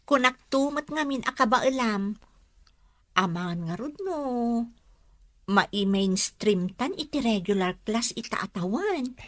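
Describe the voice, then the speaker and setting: A woman's voice: on the high side, animated, unhurried, a bit bright. A woman playing a friendly teenage schoolgirl in a Filipino radio drama, with a Filipino accent. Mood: doubtful.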